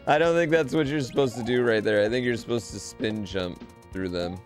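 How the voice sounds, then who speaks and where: A man's voice: medium pitch, a little fast. A man, around his thirties, streaming a video game with casual, slangy, sweary commentary to his chat, speaking into a close desk microphone at home. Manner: profane, excitable, slangy. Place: home streaming room, indoors. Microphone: close desk microphone on an arm. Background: video game music.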